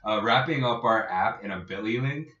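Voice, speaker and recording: A man co-hosting a casual tech podcast as an app developer, explaining things in an informal, jokey, halting way. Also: on the low side, animated, pushed and bright. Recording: echoey room, distant muffled mic.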